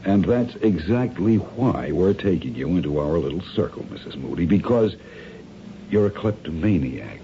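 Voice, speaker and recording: An actor playing a polite, calculating masked crime planner in a radio drama, speaking in formal, measured, persuasive phrases. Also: male voice, low voice, sing-song, dark tone. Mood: smoothly persuasive. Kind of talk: 1970s radio drama acting. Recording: dull, noisy old radio drama recording.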